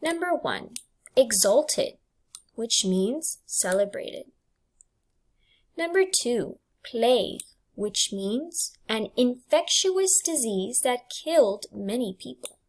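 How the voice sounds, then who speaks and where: A woman with a high, very lively voice reads aloud as a teacher would to a class. She goes slowly and deliberately, setting out each question and answer choice one item at a time. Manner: deliberate, enunciated item-by-item delivery for students. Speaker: English language arts teacher.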